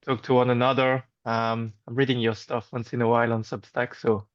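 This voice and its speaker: A man's voice: medium pitch, flat delivery, fairly quick. A man with a French accent, a guest in a casual video call chat, speaking hesitantly with frequent fillers and restarts in a friendly way. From France. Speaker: interview guest. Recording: dull lo-fi video call audio.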